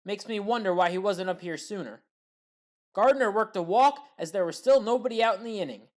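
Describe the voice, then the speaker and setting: A woman recapping baseball highlights in a voice-over as a home-team fan, reading steady play-by-play with wry asides. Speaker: sports highlights commentator.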